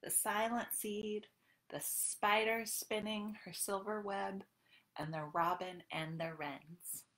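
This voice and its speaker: A woman's voice: medium pitch, level tone, slow pace, a bit bright, a little hiss or hum. A woman in her thirties leading a children's storytime in a sing-song, encouraging way, as a librarian talking to small children. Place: small room at home, indoors. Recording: roomy echo on home webcam recording.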